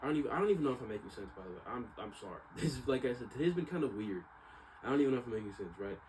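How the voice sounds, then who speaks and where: A man's voice: medium pitch, expressive, rapid-fire, a little mellow, poor audio. A man talking casually to viewers at home in a rambling, slangy, hesitant and reflective personal monologue. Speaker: vlogger. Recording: clearly audible background noise.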